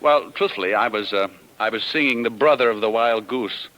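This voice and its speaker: An actor playing a wry, cool-headed private detective in a 1950s radio crime drama, glib and coaxing with a wisecracking manner. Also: male voice, lowish voice, fairly quick, a bit bright, muffled recording, close to an everyday voice. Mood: wry, teasing. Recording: old radio recording, faint music.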